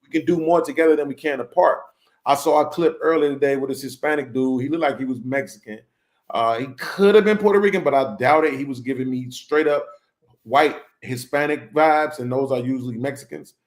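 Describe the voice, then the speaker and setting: A man's voice: mid-range voice, fairly quick. A man hosting a casual panel discussion, rambling along and tagging his points with "right?". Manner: rambling, keeps checking in with "right?".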